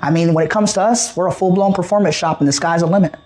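American male repair technician, high-pitched voice, explanatory sales patter in a casual demo. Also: fairly even intonation, quick pace, a little mellow. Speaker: radio repair shop technician. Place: small cluttered radio repair shop.

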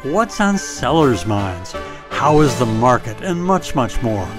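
Male promo voice-over, lively, punchy, music bed. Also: medium pitch, slow. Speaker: show intro announcer.

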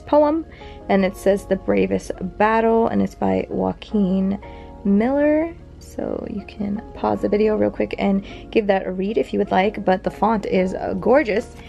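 A woman's voice: medium pitch, creaky, darkish tone. A young woman chatting casually as she shows off old paper collectibles, rambling with fillers and little laughs. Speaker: vintage collection vlogger. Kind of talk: casual show-and-tell narration. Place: home near a big airport.

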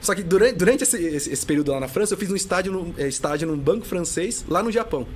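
A man in his thirties, a customs tax auditor, talking fast as a guest in a casual podcast interview, with many restarts and fillers. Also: high-pitched, slightly rough. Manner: fast, animated talk full of restarts and fillers.

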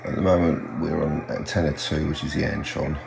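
An older man talking casually and haltingly in a low-key, unhurried way, like a hobbyist vlogging at home. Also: steady tone, vocal fry. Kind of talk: casual hobby vlog commentary. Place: small home radio room, indoors. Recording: roomy sound with faint hum.

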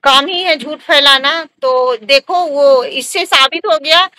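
A woman vlogger speaks in a high, bright voice. She gives casual skincare-style advice straight to viewers, hammering points home by repeating them and adding little check-in questions. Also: takes it slowly. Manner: emphatic, repetitive, with frequent tag questions. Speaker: vlogger and skin treatment provider.

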